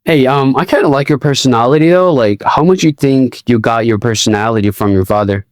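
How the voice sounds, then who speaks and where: A man's voice: mid-range voice, fairly quick, dry recording, clean studio sound. A man hosting a casual interview, asking friendly questions with many fillers and hesitations. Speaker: host.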